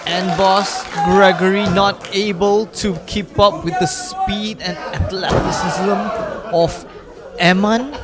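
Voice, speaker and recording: A man's voice: sits high, takes it slowly. A man doing conversational colour commentary on live sport, casual and speculative, given to rhetorical questions. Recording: noisy arena recording with loud crowd. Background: loud wrestling crowd.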